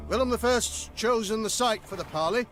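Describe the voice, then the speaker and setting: High-voiced pompous royal envoy. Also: male voice, fairly bright tone. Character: stiff, formal male royal envoy, pompously dutiful. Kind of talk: video game voice acting.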